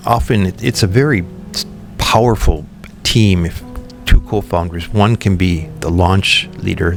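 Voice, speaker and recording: Low gravelly-voiced man with music behind. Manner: thoughtful, many ums and restarts. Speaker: podcast guest, company founder. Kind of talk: relaxed interview conversation.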